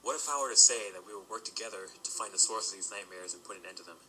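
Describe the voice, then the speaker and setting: A man's voice: fairly low pitch, racing, natural voice, poor audio. A man playing a persuasive, studious young mercenary mage pony in an amateur audio drama, articulate and reasoning. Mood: explaining. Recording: loud music behind.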